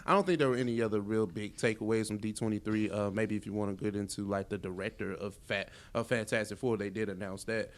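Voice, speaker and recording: A man's voice: lowish voice, steady tone, fast talker, few pauses. A man co-hosting a casual chat podcast, opinionated and slangy, swearing freely and repeating his points for emphasis. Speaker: podcast co-host. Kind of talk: casual chat about superhero films and shows.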